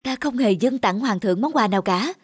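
A woman's voice: fairly high pitch, animated, brisk, heavy background noise. A woman performing all the voices of a cartoon folk tale, acted with expression, over music. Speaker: narrator and voice of all characters. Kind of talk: cartoon voice acting. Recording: music behind.

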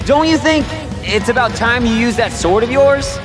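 High-pitched, brash young soldier hero. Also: male voice, normal speed. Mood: fired up. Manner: exclamatory, informal, animated. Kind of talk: video game voice acting. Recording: loud game music over voice. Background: loud game music.

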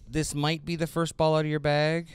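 A man's voice: fairly high pitch, monotone, normal speed, clear, resonant tone, no echo. A man co-hosting a casual product review, commenting and bantering in a joking way. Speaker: host of bowling ball reviews.

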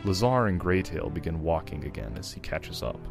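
A man with a low voice narrates a fan story. His delivery swings up and down and stays casual. Music plays clearly behind him. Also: lively intonation, fairly quick. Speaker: narrator of a group fan fiction reading. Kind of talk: scripted narration with casual joking and swearing. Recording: loud background music.

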